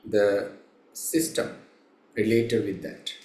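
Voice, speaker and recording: A man guiding a meditation as a teacher, speaking in measured, halting short phrases with long pauses between them. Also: lowish voice, little pitch movement, vocal fry, a little mellow. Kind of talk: slow guided meditation instruction. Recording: roomy, echoing room sound.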